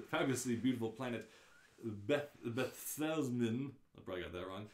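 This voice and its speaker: Man casually reading aloud in an echoey room. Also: medium pitch. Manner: stumbles over words and comments on the script. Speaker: host and narrator of a live read-through. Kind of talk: casual live script reading with asides.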